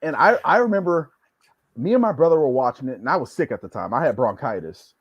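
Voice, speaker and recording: A man's voice: mid-range voice, animated, quick pace, no echo. A man chatting as a podcast guest, telling casual stories in loose, conversational phrasing. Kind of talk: casual storytelling chat. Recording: dry, slightly dull lo-fi audio.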